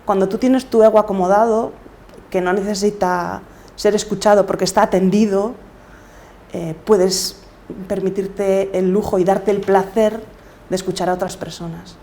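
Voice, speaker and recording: A woman's voice: mid-range voice, fairly even intonation, moderate pace, dark tone. A middle-aged woman with a Galician accent, talking reflectively as a guest in an interview. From Galicia, Spain. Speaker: podcaster and coach, interview guest.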